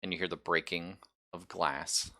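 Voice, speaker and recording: A man improvising narration as game master of a horror tabletop roleplaying game, with halting, suspenseful storytelling. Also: deep voice, fairly even intonation, sharp tone.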